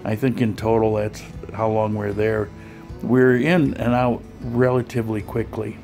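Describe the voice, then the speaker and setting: A man narrating his vlog as a voice-over, relaxed and conversational, with frequent fillers and restarts. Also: fairly low pitch, a little slow, faint music behind. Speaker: vlogger. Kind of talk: voice-over narration of a clinic visit.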